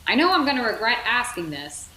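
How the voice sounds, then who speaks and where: Woman as wry storybook piggy bank fellow, sceptical joker, animated pitch, roomy sound. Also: fairly quick. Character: wry, joking male toy pig in a storybook. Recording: roomy echo, background noise.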